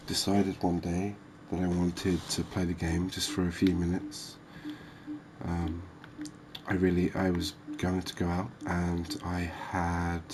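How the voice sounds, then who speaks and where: Male ASMR game host, soft and unhurried, very low register, monotone delivery. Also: a little hiss or hum. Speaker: gaming video host.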